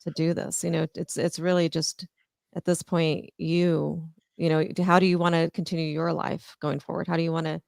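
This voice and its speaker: A female co-host gently counsels a caller and leans on fillers like "you know". Her voice is gravelly and fairly low, and her audio is dry and close. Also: flat delivery, moderate pace, dry recording. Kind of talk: supportive counselling chat.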